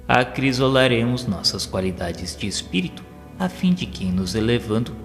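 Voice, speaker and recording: A man narrating an audio novel in a solemn, formal, measured, scripted dramatic style. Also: fairly low pitch. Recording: long reverb with faint music behind. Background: music.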